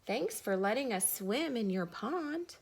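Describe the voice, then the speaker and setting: A woman's voice: fairly high pitch, animated, unhurried. A woman voicing a small, polite young chick with a chirpy manner in a storybook.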